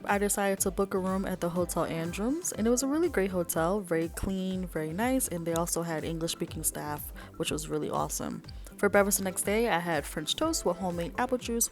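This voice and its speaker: Young woman chatting thru her travel vlog voiceover, excited, lots of likes and ums, music under it. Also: medium pitch. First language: not Japanese. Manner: chatty and enthusiastic, with frequent fillers. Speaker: vlogger. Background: background music.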